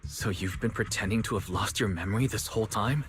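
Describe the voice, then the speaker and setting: A man's voice: lowish voice, a little fast, natural voice. A man voicing an indignant young swordsman companion in a video game. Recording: game music behind the voice.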